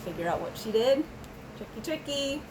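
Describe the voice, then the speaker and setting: A woman's voice: on the high side, animated, normal speed, noisy background. A woman reading a children's storybook aloud, adding casual asides. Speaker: storybook reader.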